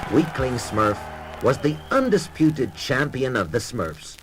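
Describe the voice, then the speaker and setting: A man's voice: mid-range voice, very animated, slow pace, fairly bright tone. A man reading scripted narration for a children's read-along storybook record. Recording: children's record with music behind. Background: music.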